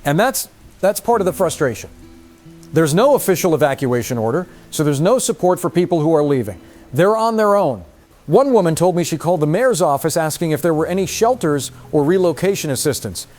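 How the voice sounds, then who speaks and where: Male field reporter, acted for audio drama: earnest, emphatic, slightly high voice, light music bed. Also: big pitch swings, brisk, darkish tone. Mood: agitated, emphatic. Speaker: news reporter.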